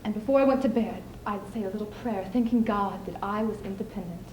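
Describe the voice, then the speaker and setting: A young woman performing a stage monologue as a spirited, scheming widow, speaking emphatically with deliberate theatrical pauses. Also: medium pitch, a little mellow, lightly acted. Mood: reflective, wistful. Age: young adult. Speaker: student speech team performer. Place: indoor school showcase stage. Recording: echoey room, clearly audible background noise.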